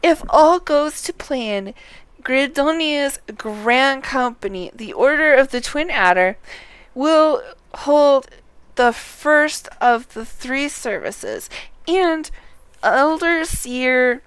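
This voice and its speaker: A woman's voice: sits high, expressive, slow pace, no echo. A woman voicing a polite, chatty female clerk who gives out quests in a fantasy game, with a slightly fussy, formal manner. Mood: brisk, instructive. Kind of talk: reading game dialogue aloud.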